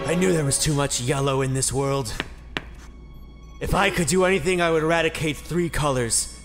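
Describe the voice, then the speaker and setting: A man voicing an aloof, edgy male cartoon hedgehog in a comedy fan dub, with a dry deadpan manner. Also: fairly high pitch, moderate pace, lightly acted. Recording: loud music behind.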